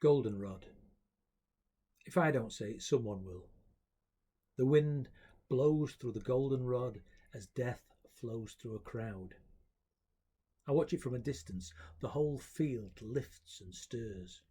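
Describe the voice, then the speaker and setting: A man reading a poem aloud in short, deliberate phrases. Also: mid-range voice, mellow, slightly rough. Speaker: poetry reader. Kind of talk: poetry recitation.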